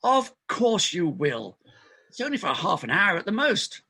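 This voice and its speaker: A bright, forceful and high voice from a male actor for a desperate farce doctor. He is raspy and insistent, forever cajoling his colleague. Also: sing-song, normal speed, heavily acted. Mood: desperate pleading. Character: desperate, fast-talking married doctor wheedling a colleague. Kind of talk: stage farce readers theater acting.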